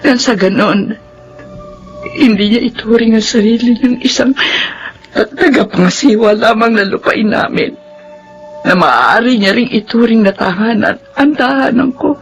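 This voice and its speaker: A woman's voice: fairly high pitch, measured pace, slightly rough. An actress playing a middle-aged, grieving widow and devoted mother in a radio drama, speaking in an emotional, wistful, heartfelt way. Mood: tearful, earnest. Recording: muffled radio drama with music underneath.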